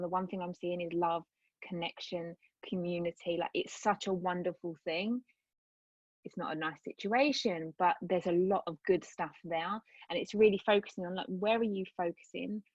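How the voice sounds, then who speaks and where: Earnest lady coach on a dull video call, lowish voice, dry sound. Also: no room sound. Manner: earnest, rambling with restarts. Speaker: guest, coach and speaker. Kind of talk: casual interview chat.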